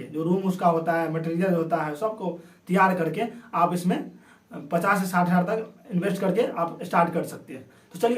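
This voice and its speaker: A high-voiced man explains things informally as a video host, speaking through a sore throat. He tends to repeat and rephrase his points. The recording is roomy and echoey, with him sitting back from the microphone. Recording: roomy echo, distant from microphone.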